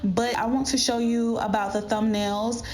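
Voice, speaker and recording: A woman with a slightly raspy voice talking casually to viewers, explaining tips like an online creator. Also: on the high side, fairly even intonation, normal speed. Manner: addresses viewers directly, step-by-step explaining. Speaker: YouTuber giving channel growth tips. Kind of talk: casual instructional vlog talk to viewers. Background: background music.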